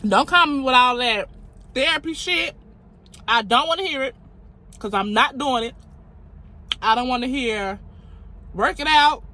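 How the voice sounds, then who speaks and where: A woman's voice: high-pitched, measured pace, long pauses, pushed and bright, a little hiss or hum. Blunt young woman vlogging in a car. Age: 20s. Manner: blunt, outspoken, chatty. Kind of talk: casual talk to camera. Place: parked car. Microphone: phone camera on dashboard.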